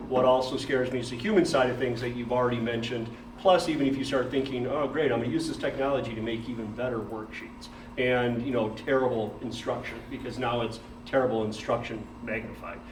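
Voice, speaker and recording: A man's voice: medium pitch, fairly even intonation, fairly quick, hardly any pauses. A man giving informal remarks to a group as a team director. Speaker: team director introducing himself. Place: meeting room. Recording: echoey noisy room, distant mic.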